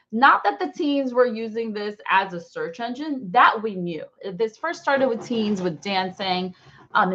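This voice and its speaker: A woman in her forties with an American accent, teaching an informal online class in a chatty, rambling, expressive way. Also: medium pitch, muffled recording. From born in Brazil, raised in New Jersey, US. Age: 40s. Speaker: online course instructor.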